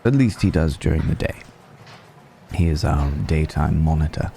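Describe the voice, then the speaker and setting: Very deep, gravelly man playing a vampire noble. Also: warm tone, a little hiss or hum. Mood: playful, sly. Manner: unhurried, genteel, teasing, with drawn-out pauses. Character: suave, menacing male vampire noble, courteous and mocking. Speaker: voice actor. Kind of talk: ASMR roleplay, intimate dramatic monologue.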